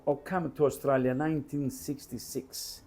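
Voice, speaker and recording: An older man from Calabria with a strong Italian accent, chatting while cooking, halting and repeating words, slipping into Italian. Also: mid-range voice, little pitch movement, mellow, soft background music. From Calabria, Italy. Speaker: home cook. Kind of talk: casual chat while cooking.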